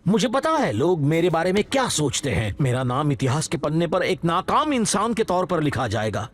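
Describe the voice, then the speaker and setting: A man voicing an excitable, boastful, scheming expedition leader in a cartoon dub, gushing and theatrical. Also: a little high, normal speed. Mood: earnest, determined. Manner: gushing, theatrical, swinging from fawning to sly. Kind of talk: animated cartoon dubbing.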